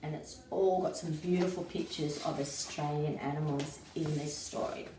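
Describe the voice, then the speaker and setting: A woman reading a picture book aloud to young children, expressively, with simple explanatory asides. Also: lowish voice. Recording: roomy, echoey, distant mic with faint noise.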